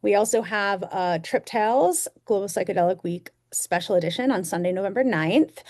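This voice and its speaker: A woman's voice: mid-range voice, normal speed, a little mellow. A woman hosting a panel discussion, upbeat and effusive, thanking guests and announcing events. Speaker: host.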